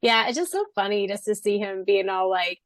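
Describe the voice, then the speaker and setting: A woman's voice: mid-range voice, fairly quick, no echo, clean studio sound. A woman co-hosting a podcast in a chatty, informal book discussion, giggly as she talks. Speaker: podcast co-host. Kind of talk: casual book discussion chat.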